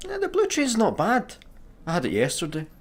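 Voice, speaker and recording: An actor with a Scottish accent playing a daft, easygoing janitor in a sci-fi comedy radio play, casual and obtusely deadpan. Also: male voice, sits high, fairly quick, exaggerated voice. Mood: wry, casual. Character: daft, easygoing, literal-minded male slacker janitor.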